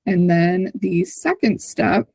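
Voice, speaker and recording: Husky, low-pitched woman explaining informally. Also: slow pace, a little mellow, dry recording. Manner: conversational, often ends points with a checking "right?". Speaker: host presenting on a community call. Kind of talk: informal software walkthrough and explanation. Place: online video call with screen sharing.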